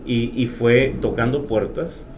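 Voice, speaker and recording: A man, an insurance agent speaking as a guest, earnest and hesitant with many pauses. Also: mid-range voice, steady tone, darkish tone. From Honduras. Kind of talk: casual interview. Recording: faint background noise.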